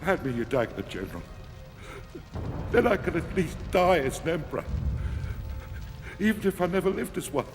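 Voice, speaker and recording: An actor playing a vain, cowardly, petulant Roman emperor in a video game, theatrical and imperious. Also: male voice, very animated, normal speed, long pauses. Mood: sly resignation. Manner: theatrical, imperious, swinging from sly to shrill. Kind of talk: dramatic video game cutscene acting. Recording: long hall reverb, music behind.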